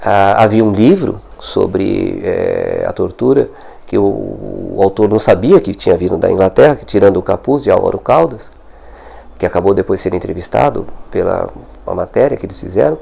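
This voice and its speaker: An older man speaks in a low, gravelly voice with a dark, mellow tone. He talks as a historian giving an interview, measured and reflective. He stops often to correct himself and hunt for the right word. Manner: thoughtful, self-correcting, searching for words. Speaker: historian guest. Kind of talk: conversational interview answer.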